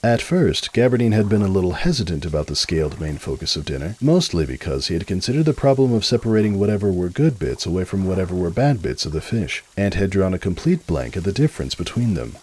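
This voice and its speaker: A man's voice: low voice, very animated, quick pace, hardly any pauses, a little mellow, husky, low-quality recording. A man giving a dramatic storybook narration.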